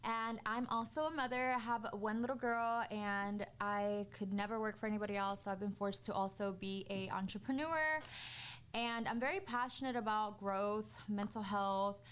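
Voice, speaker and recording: A woman's voice: medium pitch, fairly even intonation, moderate pace, a bit bright, clear, resonant tone, poor audio. A woman in her twenties co-hosting a podcast in a casual, candid, informal way, often dropping "like" into her sentences. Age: 20s. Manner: informal, candid, peppers talk with "like". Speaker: podcast co-host.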